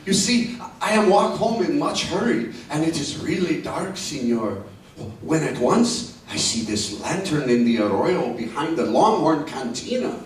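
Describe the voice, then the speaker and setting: Genial, excitable Mexican townsman, higher voice, broken English, radio western, very reverberant distant recording. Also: a little hiss or hum. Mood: excited, mysterious. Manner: broken English, chuckling, drawn-out exclamations. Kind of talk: old-time radio western acting.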